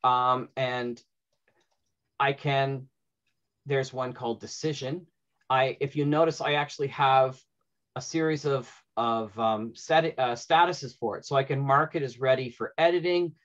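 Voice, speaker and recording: Middle aged guy hosting a chatty panel over video call, kinda lo-fi sound, thinks out loud. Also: mid-range voice, fairly even intonation, a little slow. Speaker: panel host and audio drama writer. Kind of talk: informal panel talk. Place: indoor room at home, on a video call. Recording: dull lo-fi video call audio.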